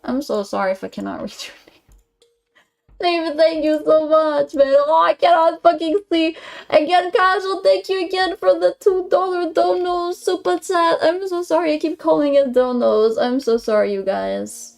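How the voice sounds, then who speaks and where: A woman's voice: very high voice, normal speed, gravelly. A young woman from Greece with a Greek accent, chatting casually with her stream chat and often stumbling over her words. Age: young adult.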